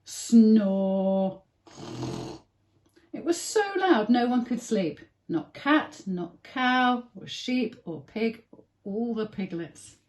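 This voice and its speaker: A woman's voice: on the high side, lively intonation, slow pace, darkish tone. A woman reading a picture book aloud to young children with simple phrasing and dramatic emphasis. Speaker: early years story reader.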